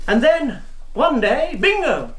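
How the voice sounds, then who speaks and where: An actor playing a tipsy, sardonic British intelligence officer in a radio drama, talking in a theatrical way. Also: male voice, very high-pitched, huge pitch swings, takes it slowly, fairly bright tone, echoey room, full character voice, rough recording.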